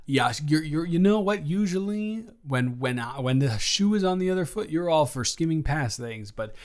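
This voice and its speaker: A man playing a flustered, evasive young roommate in an improvised audio roleplay, rambling and halting with theatrical exasperation. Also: fairly high pitch, lively intonation, lightly acted. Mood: flustered confessing. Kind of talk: improvised intimate roleplay monologue.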